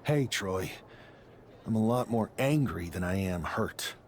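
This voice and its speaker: A man's voice: fairly low pitch, lively intonation, a little slow, slight background noise. A man playing a dry, sarcastic, stubborn rookie pro wrestler in a video game cut-scene. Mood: dry, irritated.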